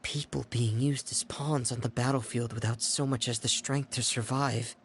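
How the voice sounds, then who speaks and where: A man's voice: medium pitch, steady tone, normal speed, lightly acted. Game role: male actor as troubled, cautious young man, mid-range, subdued delivery with faint ambient noise. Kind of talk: video game dialogue.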